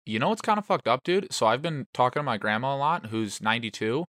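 A man co-hosting a podcast, telling stories and jokes in a dry, deadpan way. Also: mid-range voice, quick pace, dry recording. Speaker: podcast co-host. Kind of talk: casual comedic chat.

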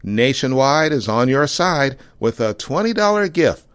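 A man's voice: medium pitch, very animated, unhurried, smooth, no echo. A man delivering a scripted advertising read as a programme announcer. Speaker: podcast host and ad reader. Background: faint music.

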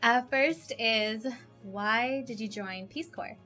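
Bright-voiced upbeat woman host. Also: fairly high pitch, slow, soft background music. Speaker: podcast host. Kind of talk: interview hosting.

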